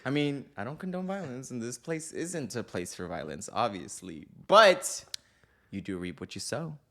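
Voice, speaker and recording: A man's voice: mid-range voice, normal speed, gravelly. A young man co-hosting a podcast, chatting casually and playfully with frequent laughter. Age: young adult. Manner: playful, laughs often, animated. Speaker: podcast co-host. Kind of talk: casual chat.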